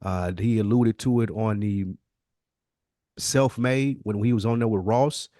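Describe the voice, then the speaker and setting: A man's voice: lowish voice, fairly even intonation, a little slow, warm tone, no echo, studio quality. An American man in a casual hip-hop discussion, speaking in an emphatic, opinionated way with plenty of slang. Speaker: podcast guest.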